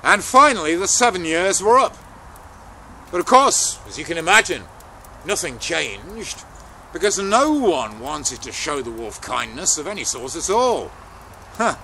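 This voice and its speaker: A man tells an old folktale at an unhurried pace, his voice bright and forceful with a creaky edge. He phrases it dramatically and deliberately, leaving pauses, with some background noise around him. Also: on the high side, very animated. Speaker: storyteller. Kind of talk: oral folktale storytelling, unscripted.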